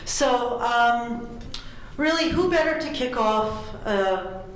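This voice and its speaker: A woman moderating a conference panel, introducing speakers briskly with some fillers. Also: fairly high pitch, animated. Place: large conference room. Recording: echoey room, faint noise.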